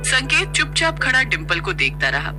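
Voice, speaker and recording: A woman's voice: fairly high pitch, big pitch swings, normal speed. A woman narrating a scripted story as a storyteller. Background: music.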